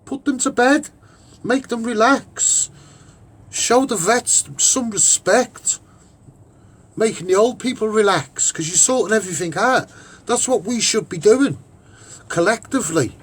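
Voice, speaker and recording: This is a man with a strong-minded, unscripted way of speaking in a Scouse accent. His voice sits high for a man and has a husky rasp. He rambles emphatically through his argument, repeating phrases to drive them home. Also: a little slow, pauses often, fairly bright tone. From Liverpool, England. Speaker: online broadcaster and activist. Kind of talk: off-the-cuff opinionated monologue.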